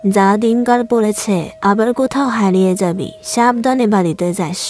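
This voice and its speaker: Caring, grumbly village lad for a cartoon, played by a woman; soft dark tone, chatty. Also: mid-range voice, moderate pace, soft background music, natural voice. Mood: friendly, grumbling. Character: friendly older village boy, mildly grumbling, caring. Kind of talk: cartoon voice acting.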